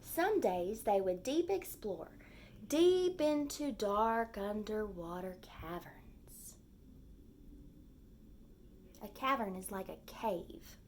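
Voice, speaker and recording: A woman teacher speaking to young children in simple, clearly phrased language, as in a storytime lesson with reading aloud and explaining. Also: medium pitch, lively intonation, slow, long pauses, a little mellow, a little hiss or hum.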